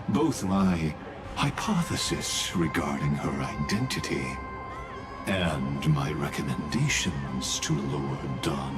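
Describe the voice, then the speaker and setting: A man playing a cold, calculating machine-bodied villain in a video game, speaking in a formal, measured, smug way. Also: sits low. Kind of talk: scripted video game dialogue. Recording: loud background music.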